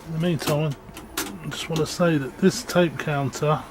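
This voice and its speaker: A man giving a casual, unscripted equipment demonstration in a matter-of-fact, halting way. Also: on the high side, fairly even intonation. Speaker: presenter demonstrating audio equipment. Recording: clearly noisy amateur room recording.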